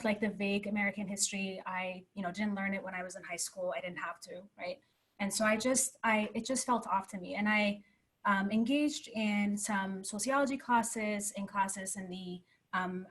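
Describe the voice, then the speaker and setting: A woman's voice: mid-range voice, poor audio. A young woman from Ethiopia, a computer scientist speaking earnestly in a panel discussion over a video call, often adding "right?". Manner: earnest, conversational, frequent "right?" tags.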